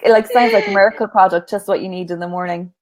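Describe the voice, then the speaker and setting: A woman's voice: mid-range voice, steady tone, fast talker, a little hiss or hum. An Irish woman hosting a casual chat, asking a guest short follow-up questions. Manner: brief encouraging prompts. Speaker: host interviewer. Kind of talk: casual interview.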